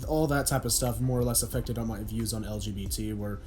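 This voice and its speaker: A young man from Oklahoma with a deep voice, a podcast guest in casual chat who rambles and restarts a lot. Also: fairly quick, over a noisy crowd. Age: young adult. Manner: rambling, self-deprecating, many restarts.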